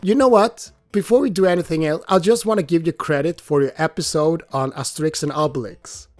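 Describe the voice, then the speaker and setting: A man, a guest on a casual podcast, relaxed and given to chuckling as he talks. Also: on the high side, brisk, no echo, soft background music.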